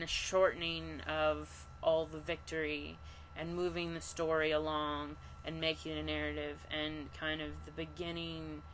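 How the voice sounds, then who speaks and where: A woman with a self-described monotone voice, leading an online scripture study, reading passages aloud and talking them through with halting restarts. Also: deep voice, slight background noise, poor audio. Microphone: computer mic for an online session.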